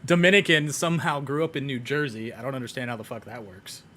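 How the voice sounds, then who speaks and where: A man's voice: mid-range voice, fairly even intonation, fairly bright tone, a little hiss or hum. A young man running a tabletop game on a stream, casual and profane, often laughing between rulings. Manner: profane, joking, quick to laugh. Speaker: game master.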